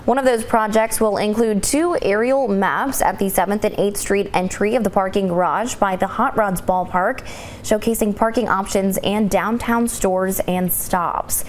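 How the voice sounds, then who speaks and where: A woman in her thirties anchoring a local newscast from a studio in measured, scripted delivery. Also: medium pitch, steady tone, a little hiss or hum. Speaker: news anchor. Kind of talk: scripted news reading.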